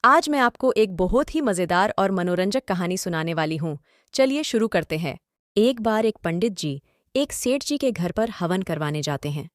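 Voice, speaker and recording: A woman's voice: mid-range voice, lively intonation, normal speed, dry recording, clean studio sound. A woman narrating a scripted story as host and storyteller.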